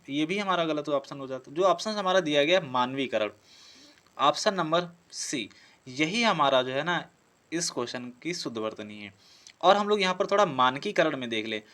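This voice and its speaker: A male teacher with a slightly high voice. He works through practice questions for exam students. His delivery is explanatory, often posing a question and then answering it himself. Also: a bit bright. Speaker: teacher on an exam-prep class channel.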